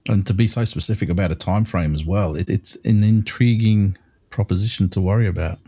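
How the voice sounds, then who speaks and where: A man with a low, mellow voice hosts a podcast. He chats casually and muses aloud in a friendly, easygoing tone. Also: brisk. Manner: chatty, musing, friendly. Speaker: podcast host.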